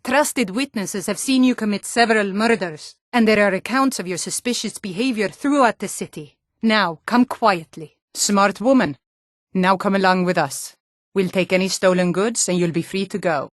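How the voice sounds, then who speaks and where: Give me an actress playing a tough fantasy game guardswoman with a bandit's menace. She speaks at a medium pitch, stern and taunting, as if every line is a threat. The sound is dry, with no room on it. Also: moderate pace, no room sound, natural voice. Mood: stern, grudging. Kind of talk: video game character voice lines.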